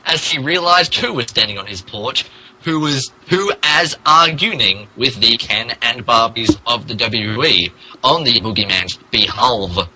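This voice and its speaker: Bright-voiced man narrating mock-dramatically. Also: mid-range voice, animated, measured pace, faint music behind, poor audio. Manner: stumbles over and mocks the text's typos. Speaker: podcast host reading aloud. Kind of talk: mock-dramatic reading of fan fiction, often amused.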